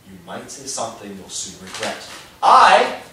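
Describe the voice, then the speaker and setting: A man's voice: medium pitch, huge pitch swings, unhurried, very echoey, distant mic, noisy background. An actor playing an exasperated young husband and lawyer, dry and sarcastic, arguing with his wife late at night. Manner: dry, sarcastic, rising to yelling. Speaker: husband in a quarrel.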